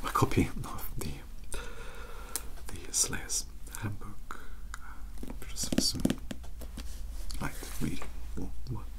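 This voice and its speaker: A man's voice: low-pitched, slow pace, long pauses. Man playing a whispering, bookish young British mentor. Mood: apologetic, polite. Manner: hesitant, stammering, formal, bookish. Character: young bookish British male vampire-hunting mentor, polite and awkward. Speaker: ASMR roleplay performer. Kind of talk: soft-spoken whispered ASMR roleplay.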